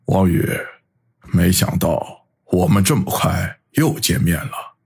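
Man voicing a stern, very husky gangland man. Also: low voice, normal speed, exaggerated voice. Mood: grim, resolute. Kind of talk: audiobook character acting.

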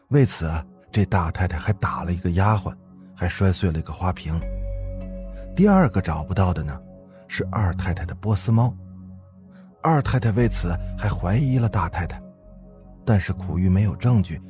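A man hosting and narrating ghost stories in a conversational, folksy, suspenseful storytelling style. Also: sits low. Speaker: horror podcast host and narrator.

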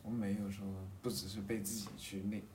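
Low voiced guy chatting reflectively, keeps rewording himself, bit echoey with faint noise. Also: flat delivery, darkish tone. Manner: halting, rephrases thoughts as he goes. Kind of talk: casual reflective conversation. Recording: roomy echo, faint background noise.

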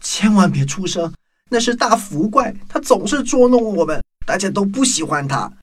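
A woman's voice: mid-range voice, animated, moderate pace, noisy background, close to an everyday voice. A woman voicing a playful, secretive little meatball creature in a children's audio drama. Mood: hushed, wary.

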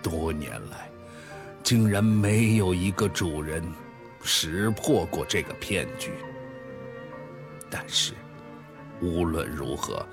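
Man acting a grave, slow, deep-voiced remorseful tycoon. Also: over music. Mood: regretful. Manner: grave, solemn, deliberate, sighing. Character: remorseful male tech founder confessing a fraud. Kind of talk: dramatic radio play monologue.

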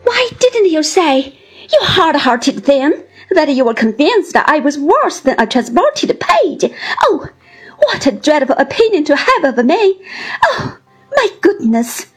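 A woman's voice: extremely high pitch, huge pitch swings, takes it slowly, few pauses, faint music behind, pitched above the performer's own voice, exaggerated voice. A woman voicing a childish young wife in a story, crying and accusing in a melodramatic, wounded way. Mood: sobbing, wounded.

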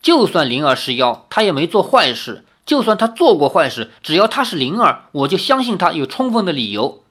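A man's voice: a little high, very animated, brisk, fairly bright tone, husky, full character voice. A man voicing a carefree young wandering swordsman who speaks frankly and earnestly.

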